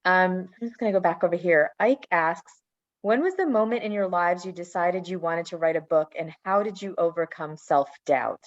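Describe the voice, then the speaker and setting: A woman's voice: on the low side, moderate pace, no echo, highs cut off. A woman librarian hosting a virtual author panel and reading out audience questions. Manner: reads audience questions aloud. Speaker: librarian host. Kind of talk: moderating a panel.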